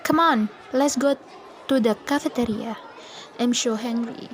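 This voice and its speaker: A woman's voice: on the high side, measured pace, lightly acted. A young woman playing a friendly, teasing teenage schoolgirl in a student-made radio drama. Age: young adult. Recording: noisy amateur recording, background chatter.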